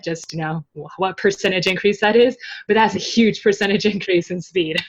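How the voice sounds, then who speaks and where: Female podcast guest, mid-pitched voice, casual explanatory chat, slightly dull low-fidelity recording. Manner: chatty, explanatory, informal. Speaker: podcast guest, web novel translator.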